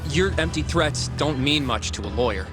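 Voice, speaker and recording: A man's voice: fairly high pitch, lively intonation, moderate pace, over music, lightly acted. A man playing an earnest young attorney in a video game drama, courteous but firm and matter-of-fact. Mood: firm, polite. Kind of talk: English-dubbed video game drama acting.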